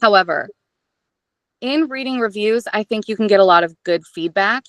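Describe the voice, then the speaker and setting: Woman, audiobook narrator, interview guest, expressive, self-deprecating, dry close sound. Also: medium pitch, moderate pace, no room sound. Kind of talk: casual interview chat.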